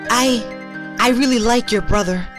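A woman voices a teenage girl adventurer in a game parody. She is high-pitched, flustered and rambling, with a hesitant, chatty delivery. Clear music plays behind her. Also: measured pace, close to an everyday voice. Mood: smitten. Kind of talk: amateur comedy fan dub of a fantasy game.

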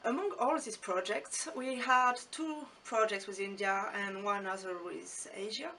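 A middle-aged woman, a deputy director, giving a steady, formal introduction. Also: medium pitch, measured pace, distant mic, a little hiss or hum, rough recording. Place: indoors.

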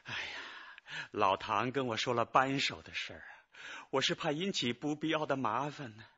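An actor playing an anxious injured manager, pleading his innocence with halting sighs in a radio drama. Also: medium pitch, bright, forceful tone, slightly rough, muffled recording. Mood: pleading, explaining. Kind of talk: Chinese radio drama acting.

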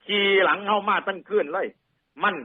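A man's voice: high voice, steady tone, normal speed, husky, narrow, tinny audio, voice pushed up. A man voicing a frightened tiger in a folk fable, stammering, pleading and wailing with an Isan accent. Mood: breathless fear. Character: frightened panicky tiger fleeing an imagined monster.

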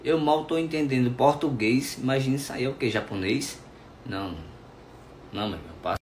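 Young man, casual selfie Q&A, mid-pitched, phone held at arm's length, faint background noise. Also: brisk. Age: 20s. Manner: chatty, affectionate, playful. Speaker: social media influencer. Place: indoors at home, bedroom and kitchen.

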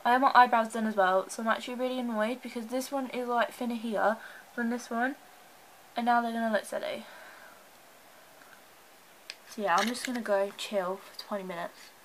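A young woman with a slightly high voice chats to a handheld camera in her bedroom. She sounds stuffed up from a cold and talks in a nervous, rambling way full of "like". A faint hiss sits under the home recording. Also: fairly even intonation, normal speed, pauses often, low-quality recording. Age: young adult. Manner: chatty, anxious, lots of "like". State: congested from a cold. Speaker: vlogger. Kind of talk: casual vlog chat to camera. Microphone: handheld camera close up.

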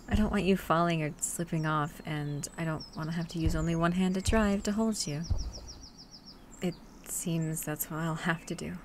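A woman voicing a gentle, protective monster hunter in a soft-spoken ASMR roleplay, hesitant and coaxing, at times breathy and whispering. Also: sits low, normal speed, natural voice. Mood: worried, protective. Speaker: voice actor. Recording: steady ambient noise with birdsong.

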